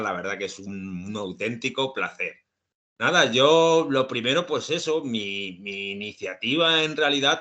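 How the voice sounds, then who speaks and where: A man's voice: medium pitch, sharp tone. A man talking as a podcast guest, hesitant and full of fillers as he explains his views. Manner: hesitant, many fillers and restarts. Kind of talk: casual podcast conversation.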